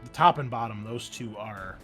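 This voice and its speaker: A man's voice: fairly low pitch, moderate pace, sharp tone, soft background music. A man working as game master, narrating a tabletop roleplaying game in an informal, wry and exclamatory way.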